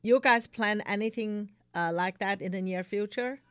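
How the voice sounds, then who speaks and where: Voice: medium pitch, moderate pace, smooth, dry recording. A co-host in a casual interview, chiming in with short agreements and enthusiastic praise.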